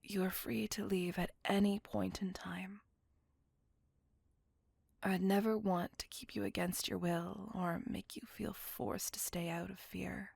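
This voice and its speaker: Woman acting a breathy, whispering, lovelorn lady vampire. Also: mid-range voice, little pitch movement, darkish tone, dry recording, clean studio sound, full character voice. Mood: wistful, yearning. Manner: slow, formal, tender and wistful. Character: lovelorn, courteous, melancholy female vampire on a date. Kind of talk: ASMR roleplay, intimate monologue.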